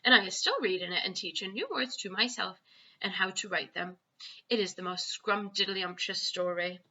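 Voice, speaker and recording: Lady doing a gentle old giant from a kids book, weary and folksy w muddled grammar, really bright voice, bit lo-fi. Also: mid-range voice, moderate pace. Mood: gentle, wistful. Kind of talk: children's storybook read-aloud.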